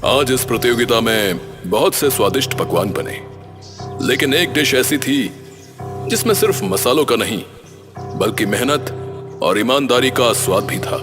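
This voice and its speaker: A man voicing a dignified, appreciative cooking contest judge in a cartoon, speaking in a measured, solemn way. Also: mid-range voice, sing-song, music in the background. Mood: solemn, approving. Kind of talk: cartoon character dialogue.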